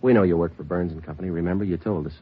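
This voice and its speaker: An actor playing a terse, businesslike police detective and narrator in 1950s radio drama style. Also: male voice, low-pitched, very fast, breathy edge. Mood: dry. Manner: terse, deadpan. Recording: dull old radio recording with loud music.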